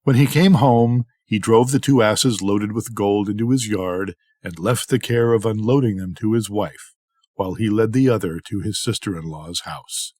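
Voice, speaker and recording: A man's voice: on the low side, normal speed, a little mellow, dry recording, studio quality. An older man reading a bedtime story aloud as a storyteller.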